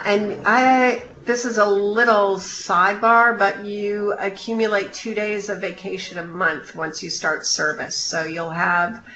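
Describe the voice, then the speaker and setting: A woman giving an informational briefing on a conference call, in a measured, explanatory way with hesitant pauses. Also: lowish voice, bright, forceful tone. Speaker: program official briefing new volunteers. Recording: roomy, dull conference-call line with faint noise.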